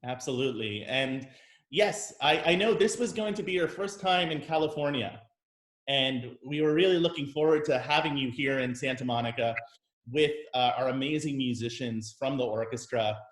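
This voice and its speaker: Male conductor as host, mid-pitched, measured and friendly, recorded in a roomy, echoey space. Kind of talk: casual interview conversation. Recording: roomy echo.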